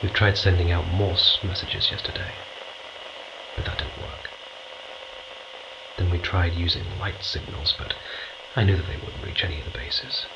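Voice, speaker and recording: A low-voiced man acting as a space mission commander, weary and strained but used to being obeyed. He speaks tersely in a sci-fi audio drama, over clear background noise. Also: steady tone, lo-fi sound. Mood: weary, subdued. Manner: terse, authoritative, halting when reflective.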